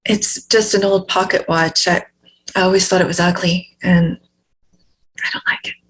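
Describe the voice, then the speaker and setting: A woman's voice: lowish voice, fairly even intonation, moderate pace, fairly bright tone, close to an everyday voice, rough recording. A woman playing a guarded, uneasy heiress in a read-through of a horror film script. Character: guarded, uneasy woman heir showing off a family secret.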